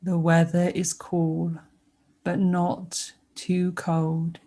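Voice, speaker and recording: A woman guiding a sleep meditation with soothing narration, speaking gently and unhurriedly with pauses between short phrases. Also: fairly low pitch, monotone. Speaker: meditation guide.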